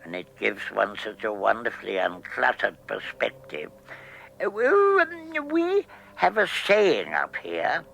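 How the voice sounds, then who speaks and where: A man's voice: fairly low pitch, huge pitch swings, gravelly, bright, forceful tone, full character voice, low-quality recording. An actor playing the peevish, disappointed ghost of an old great-grandfather, querulous and scolding, in a science fiction radio comedy. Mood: lofty, chiding. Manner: querulous, scolding, slow and quavering.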